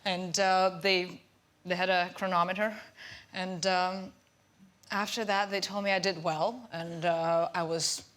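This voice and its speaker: Bright-voiced woman telling a story. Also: fairly low pitch, flat delivery, unhurried. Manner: laughing storyteller, searches for words. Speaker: guest actress. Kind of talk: talk show anecdote. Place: TV studio with audience.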